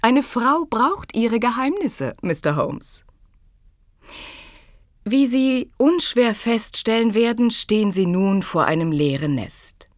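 A woman's voice: fairly high pitch, very animated, slow, no room sound, studio quality. An actress playing a clever, self-possessed young woman in a German audio drama, poised, formal and faintly teasing. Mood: playful, proud, then resolute, gracious.

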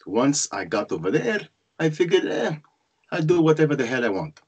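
A man's voice: a little high, sing-song, normal speed, a little mellow, husky, muffled recording. A man playing an ambitious, wry young Egyptian immigrant freshman, narrating his own story in a screenplay table read over a video call. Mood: confident, musing. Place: indoors at home, group video call. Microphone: computer or headset on video call.

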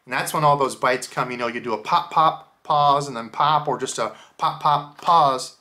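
A man, hosting a casual fishing lure unboxing from a small indoor room and explaining each bait in a relaxed, chatty way. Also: mid-range voice, moderate pace. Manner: relaxed, chatty, explains gear step by step with fillers. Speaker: fishing channel host.

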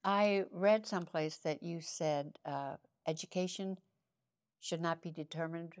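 A woman's voice: fairly low pitch, measured pace. An older woman hosting an interview, gracious, with a scripted introduction and casual questions, on a lapel mic. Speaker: interview host. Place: seated in a studio set.

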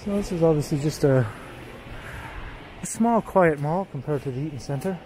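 A man giving an unhurried, casual running commentary while walking through an indoor mall, filmed on a handheld camera. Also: on the high side, slight background noise. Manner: relaxed, unhurried commentary with pauses. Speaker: walking tour vlogger. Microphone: handheld gimbal camera.

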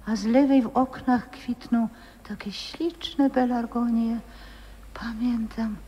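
Slow and husky, a frail old grandmother who once sang on stage drifts between whispers and wistful memory. Her speech is dreamy and unhurried. The old radio recording carries a long, hall-like reverb. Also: fairly high pitch, darkish tone, dull audio, heavily acted. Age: older. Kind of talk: 1960s radio play acting.

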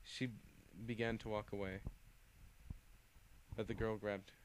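A man's voice: fairly low pitch, level tone, a little slow, poor audio. A man casually reading his own fan fiction aloud, often stumbling and restarting words. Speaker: reader.

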